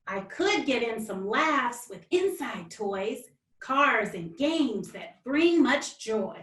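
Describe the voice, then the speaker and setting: A woman author speaking expressively and deliberately, as in a storybook read-aloud for children. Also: high voice, a bit bright. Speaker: children's author, guest reader. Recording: roomy, distant microphone.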